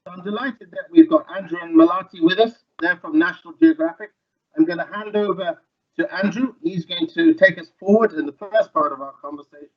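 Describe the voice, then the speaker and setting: A man hosting an online event, briskly introducing the guests and handing over to them. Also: high voice, expressive, muffled recording. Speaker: event host.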